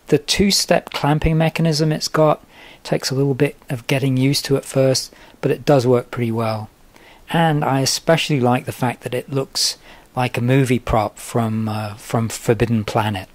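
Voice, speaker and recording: A middle-aged man talking through a fly-tying demonstration in a relaxed, methodical way at his home tying desk. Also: mid-range voice, normal speed, no echo. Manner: relaxed, methodical, with frequent uh fillers. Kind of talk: instructional voice-over.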